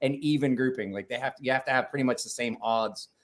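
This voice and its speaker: A man's voice: mid-range voice, fast. A man talking casually as a livestream guest, rambling with many restarts and swearing.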